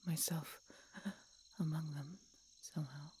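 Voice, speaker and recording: A woman voicing a tender, regretful, dying she-dragon in an audio roleplay, breathy and whispery, speaking haltingly with weak, labored breath. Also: low voice, steady tone, background noise. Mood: regretful, resigned. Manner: slow, halting, labored speech with pauses and gasps. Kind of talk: audio roleplay, intimate dramatic monologue.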